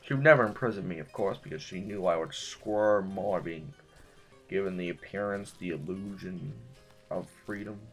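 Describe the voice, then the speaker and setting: A man's voice: low voice, moderate pace, soft background music. A man playing an anxious, wordy duke in an audio drama, speaking in formal, hesitant, ornate phrases. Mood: bitter, emphatic.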